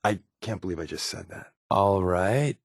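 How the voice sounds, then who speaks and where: A sardonic American secret agent in a video game, a companion with glib, wisecracking patter. His pitch moves around freely in an animated delivery, and the sound is dry and close. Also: moderate pace, no room sound. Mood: persuasive, wry. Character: wry, sarcastic undercover resistance agent and companion.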